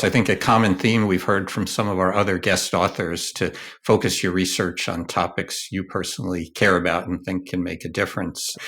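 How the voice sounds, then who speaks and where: A man's voice: low-pitched, fairly bright tone. A man hosting an expert podcast, putting prepared questions to a guest in a formal, courteous way. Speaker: podcast host, journal editor.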